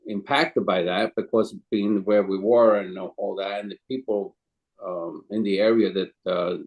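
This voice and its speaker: A man in his sixties from Calabria, Italy, speaking English with an Italian accent as an interview guest, telling his story in a hesitant, rambling way with many pauses and some non-native phrasing. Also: fairly low pitch, steady tone, muffled recording. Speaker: guest, chef and caterer.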